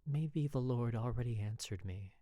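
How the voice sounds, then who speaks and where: An expressive, measured narrator reading a first-person dramatic story. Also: mid-range voice, no room sound. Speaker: podfic narrator.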